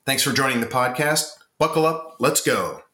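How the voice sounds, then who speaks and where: A man's voice: mid-range voice, expressive, moderate pace. A man hosting an interview podcast, reading a guest introduction and then asking questions in a friendly, conversational way. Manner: friendly, conversational with "you know". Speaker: podcast host.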